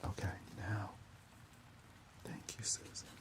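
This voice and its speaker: A man hosting an ASMR livestream, speaking in a breathy, hushed whisper with deliberate delivery.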